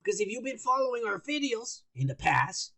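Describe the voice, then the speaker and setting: A raspy, gravelly-voiced man with a high, lively pitch, co-hosting a podcast. He rambles in crude, profane casual talk, sounding high and loose as he drinks. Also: a little fast. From United States. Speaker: podcast co-host. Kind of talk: casual chat.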